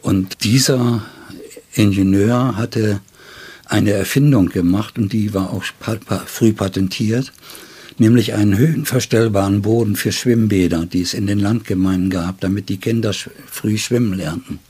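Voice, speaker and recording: An older man with a fairly low, husky voice reminisces as a writer being interviewed. He tells his anecdotes deliberately, unfolding them in long and detailed sentences. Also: fairly even intonation, dark tone.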